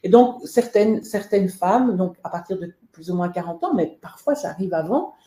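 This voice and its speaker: A woman's voice: on the low side, moderate pace, mellow, echoey room. A woman from Belgium, the guest author in a webinar interview, talking in a hesitant way with many fillers and restarts.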